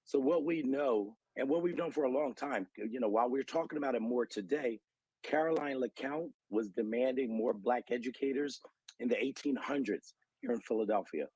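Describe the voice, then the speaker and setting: An American man with a somewhat husky voice at a middle pitch, recorded close and dry. He speaks as an interview guest, explaining things in an earnest, articulate way, like an advocate laying out evidence. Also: moderate pace, a little mellow, no room sound, highs cut off. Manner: earnest, articulate advocate citing research and figures.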